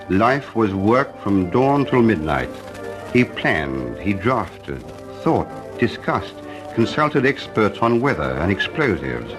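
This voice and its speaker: An actor as narrator of a wartime radio drama, reading a dramatic documentary script. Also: male voice, lowish voice, poor audio.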